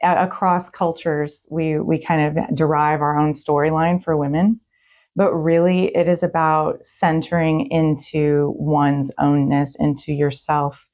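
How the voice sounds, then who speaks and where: A woman with a low-pitched voice speaks as a guest on a talk show. She answers questions in a conversational interview style. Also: flat delivery, unhurried, a little mellow.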